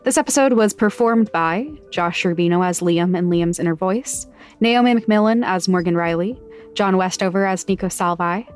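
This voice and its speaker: A woman's voice: on the low side, normal speed, clear, resonant tone, faint music behind. A woman reading scripted podcast credits and promos as an announcer.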